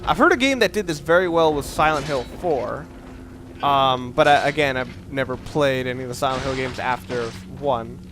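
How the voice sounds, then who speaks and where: A man's voice: medium pitch, moderate pace, over music. A man giving casual, unscripted video game commentary in a rambling, sweary, slangy way. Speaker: let's play commentator. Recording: clear background noise.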